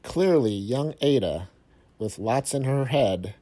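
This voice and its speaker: Slow, mellow man reading a children's story. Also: medium pitch, expressive. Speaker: storyteller reading a picture book aloud to young children. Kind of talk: expressive children's read-aloud of rhyming verse.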